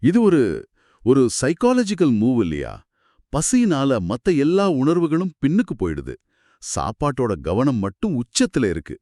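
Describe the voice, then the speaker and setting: A man with a mid-pitched, very expressive delivery co-hosts a talk. He sounds curious and reflective, recorded dry and free of room echo. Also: normal speed. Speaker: podcast co-host asking questions and summing up. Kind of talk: scripted conversational discussion.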